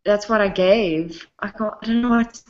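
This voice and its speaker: A woman's voice: mid-range voice, moderate pace. A young South African woman talking casually about her singing, often laughing between sentences. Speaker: interviewee, singer. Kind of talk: casual interview chat. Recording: dull lo-fi sound.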